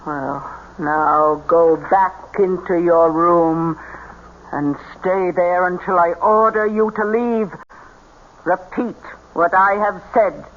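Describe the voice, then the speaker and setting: Bossy, low-voiced scheming woman. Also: slow. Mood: commanding. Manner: commanding, insistent. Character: bossy, scheming female con artist, cool and determined. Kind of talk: old-time radio drama acting. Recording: dull, noisy old radio recording.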